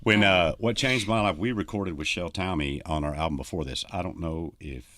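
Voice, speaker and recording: A man with a deep voice, a touring country musician chatting as a podcast guest, telling stories in a rambling way full of "you know". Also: fairly quick, fairly bright tone. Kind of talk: casual podcast conversation.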